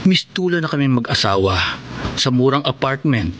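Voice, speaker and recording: A man's voice: medium pitch, expressive, normal speed, fairly bright tone, a little hiss or hum, lightly acted. A man playing an earnest, devoted young working boyfriend in a Tagalog radio drama. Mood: confiding narration.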